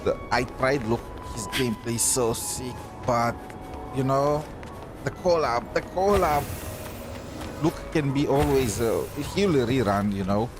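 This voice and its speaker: Guy livestreaming a game, chatting casually, rambles and repeats himself, music loud behind him. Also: mid-range voice, expressive, measured pace. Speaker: game streamer, host. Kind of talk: casual livestream chat while playing.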